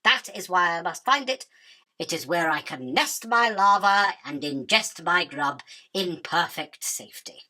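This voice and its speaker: Bright-voiced haughty spider queen , acted by a woman. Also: fairly low pitch, expressive, measured pace, exaggerated voice. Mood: imperious, self-justifying. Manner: imperious, dismissive, self-justifying. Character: haughty, self-absorbed, hungry female spider queen. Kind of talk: theatrical radio drama acting.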